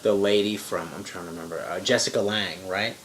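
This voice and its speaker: A man's voice: low-pitched, fairly even intonation, fairly bright tone. A man hosting a casual chat, moving through topics and asking his co-host questions. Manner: leads topics, quick reactions and questions.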